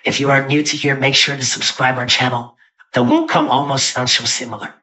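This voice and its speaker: A forceful, bright-toned monkey warrior from an action game a man using an AI voice changer. The pitch sits in the middle. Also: steady tone, a little fast, far from the mic, low-quality recording. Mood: friendly.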